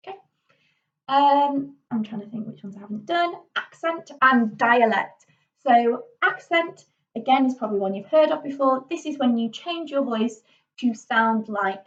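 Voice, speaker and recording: A woman's voice: fairly high pitch, far from the mic, muffled recording. A woman with a Yorkshire accent giving a casual drama lesson, chatty and full of ums and little restarts. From Yorkshire, England. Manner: chatty, with many ums and self-corrections. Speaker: drama teacher.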